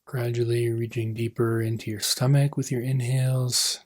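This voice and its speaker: Male meditation coach, husky mid-pitched voice, gentle phrasing with pauses, lines tapering off softly. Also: flat delivery. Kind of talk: guided meditation.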